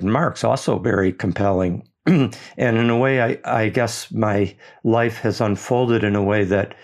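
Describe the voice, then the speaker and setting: A man's voice: fairly low pitch, darkish tone. An older man from the United States speaking reflectively with many hesitations, restarts and fillers. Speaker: speaker on his intellectual path. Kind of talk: reflective personal talk.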